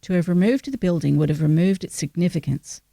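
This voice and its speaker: Female reader, creaky and mellow, voicing quoted newspaper copy in a plain scripted read. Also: on the low side, expressive, brisk, no room sound. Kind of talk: scripted reading.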